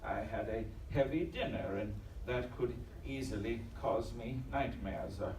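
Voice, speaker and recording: Distant and echoing on a noisy live stage, a man acts out a nervous, skeptical doctor in a ghost tale. He stammers slowly through formal, old-fashioned phrases with theatrical swings. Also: mid-range voice, darkish tone, lightly acted. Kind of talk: theatrical live audio drama acting.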